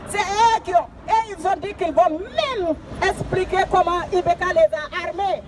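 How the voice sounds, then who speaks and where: A woman's voice: very high-pitched, measured pace. A woman activist giving an impassioned protest speech, emphatic and repetitive as she urges her listeners on. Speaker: activist speaker. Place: outdoors at a street demonstration. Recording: noisy outdoor crowd, street traffic. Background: crowd and vehicle noise.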